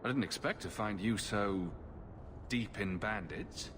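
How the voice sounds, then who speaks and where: A man's voice: lowish voice, measured pace, noisy background, natural voice. A man playing a sardonic champion hero in a video game, with a dry, teasing manner. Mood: dry, composed.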